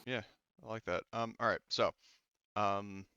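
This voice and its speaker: A man's voice: on the low side, fairly even intonation, a little slow, sharp tone, clean tone, no room sound. A man casually refereeing a tabletop roleplaying game as game master. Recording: slightly dull, lo-fi voice-chat audio.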